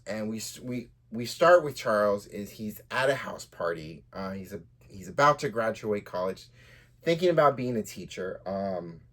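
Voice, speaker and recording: A man with a fairly low voice hosts a story podcast. He talks casually as a writer presenting his own work, somewhere between chatting and reading aloud.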